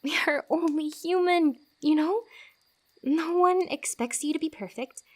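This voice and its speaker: A woman playing a friendly, teasing fantasy wanderer who gives comfort in a soft-spoken, giggly, rambling ASMR roleplay. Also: sits high, unhurried. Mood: playful, teasing.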